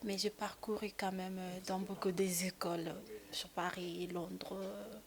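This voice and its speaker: A woman from Congo, a gospel singer, answering interview questions softly and hesitantly. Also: medium pitch, little pitch movement, a little slow, vocal fry, a crowd in the background. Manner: soft, hesitant answers with frequent fillers.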